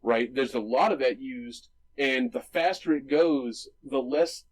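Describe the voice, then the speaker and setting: A man's voice: mid-range voice, measured pace, rough recording. Chatty male movie podcaster. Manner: enthusiastic, explains with many restarts and "right?". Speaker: podcast co-host. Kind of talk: casual movie discussion.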